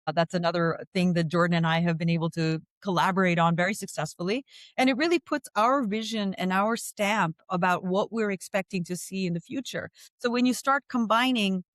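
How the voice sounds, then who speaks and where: A middle-aged woman from India, a venture fund co-founder speaking as a podcast guest in measured, articulate, long flowing sentences. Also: fairly low pitch, no echo. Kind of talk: interview conversation.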